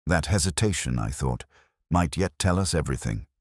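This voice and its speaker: Very deep-voiced male narrator, measured. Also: lively intonation, no room sound, clean studio sound. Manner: measured, literary, reflective. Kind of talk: first-person detective story narration.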